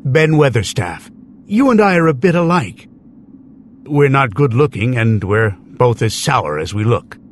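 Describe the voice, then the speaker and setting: A man voicing a gruff, lonely old gardener, sour and blunt, in an animated children's story. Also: mid-range voice, unhurried, a little hiss or hum. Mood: frank, lonely. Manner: blunt, terse.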